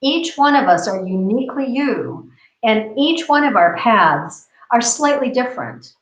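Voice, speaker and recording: A woman's voice: a little high, expressive, a little slow. A woman from the United States, co-hosting a casual discussion, talking earnestly and repeating phrases as she builds her point. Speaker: co-host. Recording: roomy, dull lo-fi video call audio.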